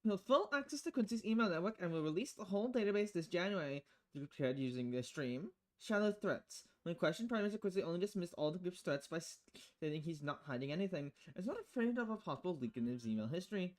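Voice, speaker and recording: Streamer putting on an animated quirky news reporter. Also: mid-range voice, fast talker, pitched above the performer's own voice, natural voice. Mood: chirpy, announcing. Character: upbeat news reporter reading quirky headlines. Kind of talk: scripted news article read aloud.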